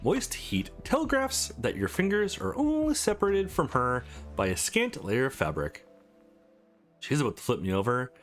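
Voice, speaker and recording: Man with very animated pitch, reading aloud. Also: normal speed, faint music behind. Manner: expressive, acts out dialogue between narration. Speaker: let's play host. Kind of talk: reading visual novel aloud with casual commentary.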